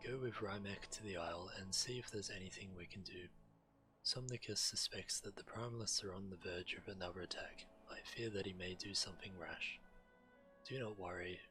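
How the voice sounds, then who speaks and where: Deep-voiced man reading game quests aloud. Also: fairly quick, low-quality recording. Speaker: game streamer. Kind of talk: reading quest text aloud while playing a video game. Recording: loud game music behind. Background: video game music.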